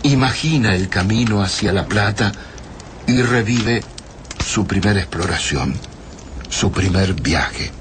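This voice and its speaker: A man's voice: fairly low pitch, animated, unhurried. A man reading tender, contemplative, poetic narration for a radio drama. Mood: tender, reflective. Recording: faint ambient noise behind voice.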